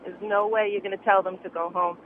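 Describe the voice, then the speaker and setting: A woman's voice: medium pitch, flat delivery, quick pace. A woman phoning a radio show over a telephone, arguing insistently and repeating herself. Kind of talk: spontaneous phone-in argument. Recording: noisy, narrow telephone line.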